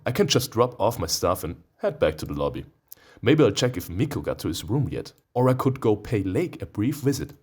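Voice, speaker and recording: A man reading a visual novel aloud, expressive and playful, breaking into casual, profane asides. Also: low voice, husky. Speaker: let's player. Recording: music behind the voice.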